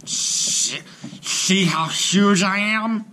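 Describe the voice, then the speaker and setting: A man voicing a huge, vain female hippopotamus in a storybook, loud, scoffing and boastful. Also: high-pitched, extremely slow, slight background noise, voice pushed up, exaggerated voice.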